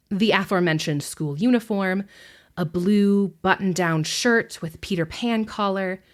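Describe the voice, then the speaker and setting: A woman narrating a scripted film essay, with measured, deliberate phrasing and emphatic pauses. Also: mid-range voice.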